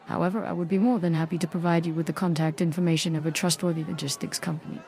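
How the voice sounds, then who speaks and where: An actress playing a young private detective in a video game, sardonic, guarded and formally polite. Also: female voice, lowish voice, fairly even intonation, racing, warm tone, natural voice. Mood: politely firm. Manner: dry, wry, formally polite. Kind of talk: video game dialogue and narration.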